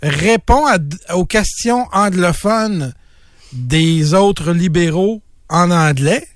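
A man's voice: sits high, slow pace, no room sound, clean studio sound. A man with a Québécois accent co-hosting a radio talk show, wry and skeptical. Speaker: radio co-host.

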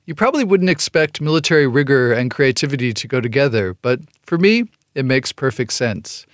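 A man's voice: mid-range voice, big pitch swings, brisk, dry recording, clean studio sound. A man hosting a radio show, reading an upbeat segment and interviewing a guest.

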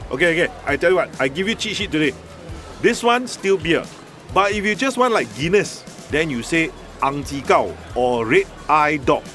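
A man's voice: a little high, lively intonation, moderate pace, a bit bright, soft background music. A man playing a chatty, easygoing Singaporean drinking buddy in a scripted podcast dialogue, talking colloquially with emphatic particles at sentence ends. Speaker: voice actor reading the Singlish part. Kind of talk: scripted casual dialogue in Singlish.

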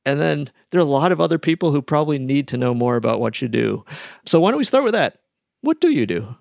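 A man hosting an interview, asking lively questions with frequent restarts. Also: a little high, fast, creaky, dry recording, clean studio sound. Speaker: podcast host.

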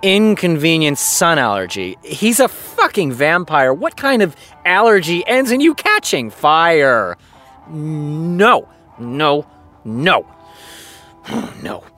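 A man's voice: on the high side, sing-song, slow, bright, forceful tone, faint music behind, exaggerated voice. A man acting in a fiction podcast as an exasperated, sarcastic TV creator who reads fan fiction aloud. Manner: sardonic, muttering asides between read passages.

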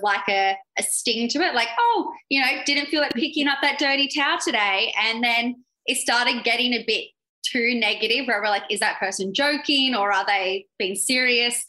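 A woman's voice: a little high, pushed and bright, poor audio. A young woman chatting as an interview guest, talking casually with many fillers. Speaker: guest, yacht crew member.

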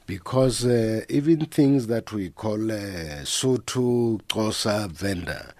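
A man, a guest expert on a radio panel, explaining in a slow and measured way. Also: fairly low pitch, dark tone, dry recording.

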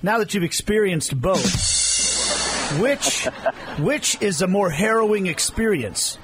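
High-voiced chatty radio co-host man. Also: animated, slow pace, over loud music, rough recording. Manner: chatty, storytelling. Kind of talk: casual sports radio banter.

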